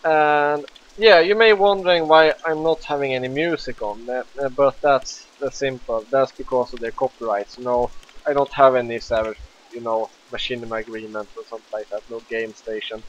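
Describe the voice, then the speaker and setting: A young man from Sweden with a Swedish accent, chatting casually and excitedly as he plays a game, swearing freely. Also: medium pitch, normal speed, dull audio, slight background noise. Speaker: let's play gamer. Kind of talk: casual gameplay commentary.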